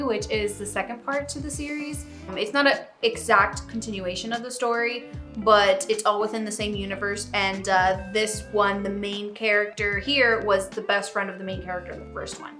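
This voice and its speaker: A woman's voice: mid-range voice, sharp tone. A woman co-hosting a book vlog, chatting casually and addressing viewers in a conversational way. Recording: roomy echo, faint music behind.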